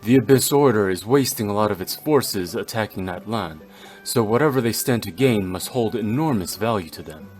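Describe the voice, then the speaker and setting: A man's voice: lowish voice, expressive, moderate pace, darkish tone, soft background music, natural voice. A man voicing a stoic, cold and brooding warrior in a video game, with solemn, formal, terse delivery. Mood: grim, calculating. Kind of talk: dramatic video game voice acting.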